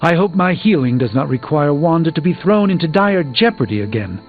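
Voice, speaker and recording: An actor playing an earnest, formal superhero sorcerer burdened by regret in a video game, speaking in an articulate, measured way. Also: male voice, a little high, animated, narrow, tinny audio, lightly acted. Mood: regretful. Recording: band-limited game audio with background music.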